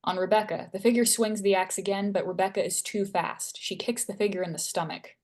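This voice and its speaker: A woman's voice: mid-range voice, fairly even intonation, fairly quick, darkish tone. A woman narrating stage directions from a screenplay at a table read.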